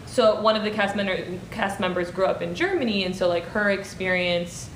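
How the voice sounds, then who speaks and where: Chatty young woman in echoey room. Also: moderate pace. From Michigan, United States. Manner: chatty, full of "like" and "um", thinking aloud. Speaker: playwright and director. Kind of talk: casual interview chat. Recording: roomy echo, faint rumble.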